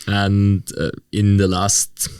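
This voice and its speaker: A man's voice: fairly low pitch, monotone, slow pace, a little mellow, clear, resonant tone, no echo, studio quality. A man from Austria with an Austrian accent, a native German speaker, asking questions as a podcast host in a conversational way.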